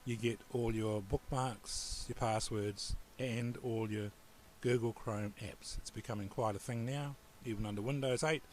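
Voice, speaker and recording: A man with a New Zealand accent giving a relaxed, rambling screen-recorded computer tutorial. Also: medium pitch, unhurried, hardly any pauses, a little hiss or hum. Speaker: tutorial presenter.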